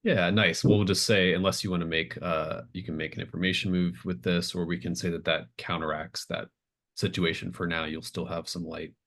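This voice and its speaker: A man with a very deep voice runs a tabletop game. He improvises the narration conversationally, feeling out each phrase as he goes and thinking aloud in a casual style. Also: little pitch movement, a little fast, fairly bright tone. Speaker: game master. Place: remote online group call.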